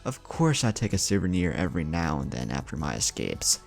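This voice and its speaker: A man's voice: a bass voice, very animated, fairly quick, creaky, darkish tone, faint music behind, pitched below the performer's own voice, exaggerated voice. A man voicing a crazed, sadistic male killer in a story, boastful and menacing. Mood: menacing glee.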